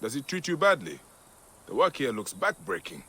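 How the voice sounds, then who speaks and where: An actor playing a brooding, vengeful Egyptian warrior in a video game, gravelly, grave and intense. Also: male voice, mid-range voice, big pitch swings, moderate pace, bright, forceful tone, full character voice. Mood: earnest. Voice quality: gravelly, husky. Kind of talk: dramatic video game acting.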